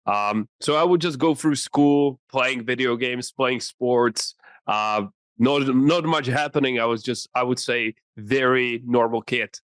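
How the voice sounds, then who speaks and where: A man's voice: mid-range voice, a little slow, fairly bright tone, no room sound. Czech-accented man, 20s, earnest interview answers, dry close sound. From Czech Republic. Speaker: podcast guest, entrepreneur.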